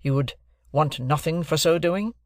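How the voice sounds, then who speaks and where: A man's voice: fairly high pitch, normal speed, no echo, clean studio sound, pitched above the performer's own voice, natural voice. A man voicing a brusque, stern middle-aged lawyer who speaks in curt, clipped, commanding sentences. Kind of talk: audiobook character voice.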